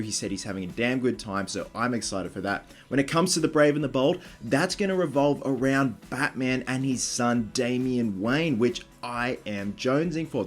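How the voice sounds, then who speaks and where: A man with a stuffy, nasal voice from just waking up, hosting a casual news commentary vlog in a chatty, excitable fan manner. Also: medium pitch. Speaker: vlog host.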